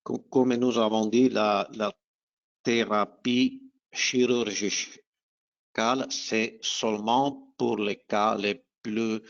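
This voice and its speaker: Man, Italian accent, slow gravelly voice, halting non-native phrasing, guest doctor, muffled webinar audio. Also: fairly low pitch, fairly even intonation. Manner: halting, searching for words in non-native speech. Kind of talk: informal question and answer. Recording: dull, band-limited webinar audio.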